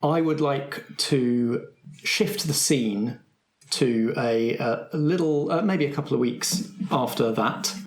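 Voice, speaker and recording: A man with a slightly husky voice, hosting and narrating a roleplay show. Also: mid-range voice, slow. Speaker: host and game master of an improvised roleplay podcast. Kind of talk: scripted announcements and game narration.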